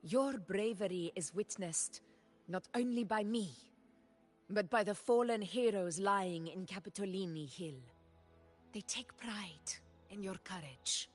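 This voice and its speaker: An actress playing a dignified, commanding warrior ruler in formal video game dialogue. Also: female voice, mid-range voice, measured pace, faint music behind, close to an everyday voice. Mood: proud, rousing. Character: resolute female warrior sovereign, commanding and dignified. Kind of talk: scripted video game acting.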